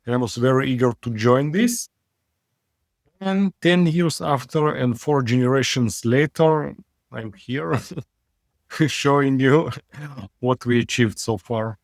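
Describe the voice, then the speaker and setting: A man's voice: mid-range voice, creaky, no room sound, clean studio sound. Wry man with English. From Israel. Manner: frank, wry, halting non-native phrasing. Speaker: company CEO guest. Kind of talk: casual interview talk.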